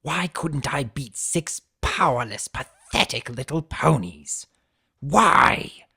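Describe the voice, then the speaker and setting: A man's voice: fairly high pitch, animated, slow pace, pushed and bright, breathy edge, heavily acted. A man voicing an ancient, weakened centaur villain in a story, bitter and enraged, snarling and muttering his words. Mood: furious, anguished.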